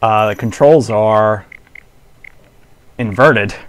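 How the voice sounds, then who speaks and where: Slow-paced man casually commenting on gameplay. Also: fairly low pitch, clear, resonant tone, poor audio. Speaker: gaming video host. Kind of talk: casual light playthrough commentary.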